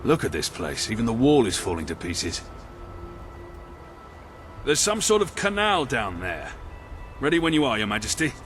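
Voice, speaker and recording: Video game royal escort: wry, watchful soldier, dry delivery, over a clear music bed. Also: male voice, mid-range voice, huge pitch swings, moderate pace, long pauses, a bit bright. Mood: dry, wary. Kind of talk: video game character dialogue.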